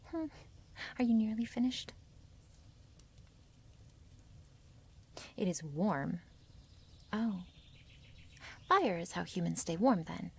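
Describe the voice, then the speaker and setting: A woman voicing a wary, possessive young female werewolf in an intimate ASMR roleplay, breathy and half-whispered, with halting, formal phrasing. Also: mid-range voice, exaggerated voice. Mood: wary, reluctant. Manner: halting, stiffly formal phrasing without contractions.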